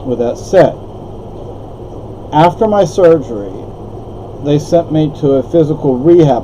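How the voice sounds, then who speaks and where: A man's voice: a little high, dark tone, slight background noise, rough recording. An older man whose first language is Spanish, telling a rambling personal story with frequent restarts and asides. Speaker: vlogger.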